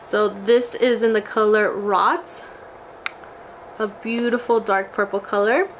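A woman's voice: medium pitch, slow pace. A young woman in her twenties, a beauty vlogger, chatting casually and gushing over a haul, her voice a little scratchy from a cold. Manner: gushing, enthusiastic, exclamatory. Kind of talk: casual makeup haul vlog. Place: small indoor room before a glitter backdrop.

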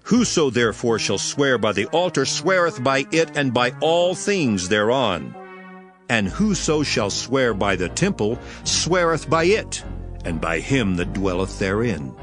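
A man's voice: mid-range voice, big pitch swings, measured pace, a bit bright. A man acting a stern, authoritative teacher in a dramatized scripture reading, speaking with solemn, emphatic declamation. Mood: stern, rebuking. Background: background music.

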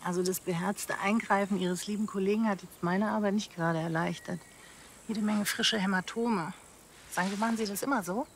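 An actress playing a dry, sardonic forensic pathologist in a TV crime comedy, matter-of-fact and unimpressed. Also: female voice, mid-range voice, fairly even intonation, brisk, noisy background, natural voice. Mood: sarcastic.

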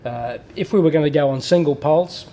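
Workshop guy walking through welder settings, mellow voice, bit of hum behind. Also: fairly high pitch, fairly quick. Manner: plain-spoken, explains step by step with fillers and restarts. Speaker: welding machine specialist. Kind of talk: hands-on technical explanation.

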